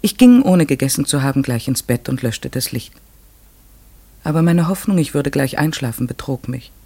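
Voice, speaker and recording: An actor playing a reflective, troubled man who narrates a radio play in the first person, measured and literary. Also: mid-range voice, expressive, a little mellow. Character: troubled, reflective male narrator, a village lodger.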